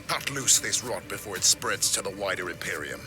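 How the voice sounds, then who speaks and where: A man playing a stern, imperious grandmaster of a sci-fi knightly order in a video game, speaking in solemn, archaic phrases with a gravelly voice. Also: sits low, expressive, a little fast. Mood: commanding. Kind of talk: dramatic video game voice acting. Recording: loud music under the voice.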